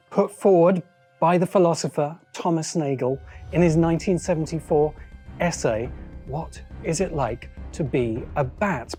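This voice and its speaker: A man narrating a scripted video essay as host, phrasing deliberately with pauses for emphasis. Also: a little high, mellow. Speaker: host, science fiction critic.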